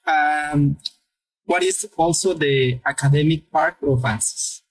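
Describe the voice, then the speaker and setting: A podcast host poses questions to guests with some hesitation and loose phrasing. The voice sits at a middle pitch. The delivery stays polite and deliberate. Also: poor audio. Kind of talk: interviewer questions.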